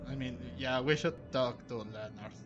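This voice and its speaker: A man doing casual let's-play commentary, thinking aloud in a halting way. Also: mid-range voice, muffled recording. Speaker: gaming streamer. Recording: game music behind the voice.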